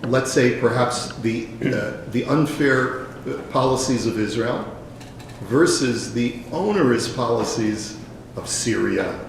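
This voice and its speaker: A man's voice: mid-range voice, takes it slowly, creaky, slight background noise. A man speaking at a public dialogue, a rabbi who hesitates with fillers and then presses his point. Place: event hall. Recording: very echoey hall with faint ambience.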